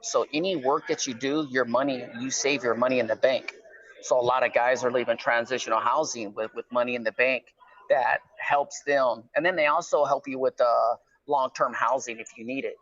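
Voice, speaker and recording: A man's voice: mid-range voice, fairly even intonation, moderate pace, fairly bright tone. A middle-aged man talking casually as a guest on a phone call, explaining things conversationally and often checking in with "right?". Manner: conversational, explanatory, peppers talk with "right?" and "you know". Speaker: guest calling from prison. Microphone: phone line. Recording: slightly dull, lo-fi phone call.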